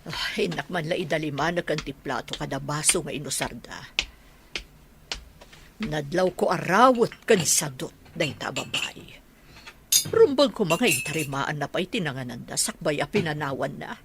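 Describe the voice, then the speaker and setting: A woman's voice: sits low, expressive, normal speed, background noise. An actress playing an older, motherly house caretaker in an Ilocano radio comedy drama, deferential to her employer and grumbling in private.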